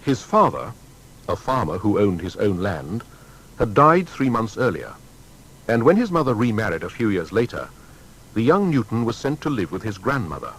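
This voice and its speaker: A man narrating a scripted biography in a measured, formal and dramatic way. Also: sing-song, fairly bright tone, slightly rough, poor audio. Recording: faint background rumble and noise.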